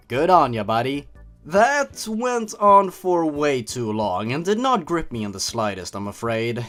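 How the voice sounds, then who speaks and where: A man narrates with a highly animated, swooping delivery, like a show host reading a spooky tale aloud. His manner is theatrical and teasing, slipping between drama and dry commentary. Faint music plays behind him. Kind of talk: dramatic scripted story reading with comic commentary.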